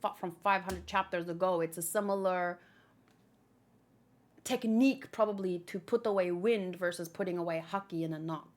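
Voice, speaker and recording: A woman's voice: on the low side, normal speed. A woman host chatting casually and informally about manga, rambling a little as she thinks aloud.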